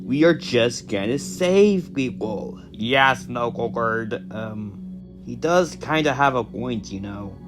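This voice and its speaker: A man's voice: mid-range voice, expressive, slow pace, music in the background. A man acting out an amateur audio drama in an everyday, conversational, improvised-sounding voice.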